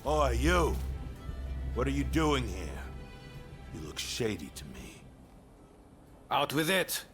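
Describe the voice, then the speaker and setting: Gravelly, aggressive guard. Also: male voice, mid-range voice, big pitch swings, slow pace, fairly bright tone, soft background music, exaggerated voice. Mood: suspicious. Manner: barking, confrontational. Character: aggressive, suspicious male guard enforcing a lord's orders. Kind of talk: video game cutscene acting.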